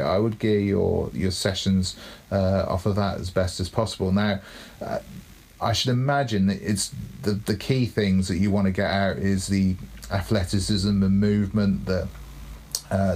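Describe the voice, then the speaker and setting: Gravelly-voiced man giving coaching advice. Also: lowish voice, a little mellow. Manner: thoughtful, advising, repeats words as he thinks. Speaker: cricket coach and co-host. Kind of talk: casual chat.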